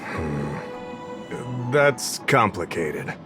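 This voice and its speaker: Easygoing, low-voiced official. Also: male voice, big pitch swings, extremely slow, music in the background, exaggerated voice. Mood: explaining. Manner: conversational, slightly absent-minded, explanatory. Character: affable, easygoing official of an investigation commission. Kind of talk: video game character acting.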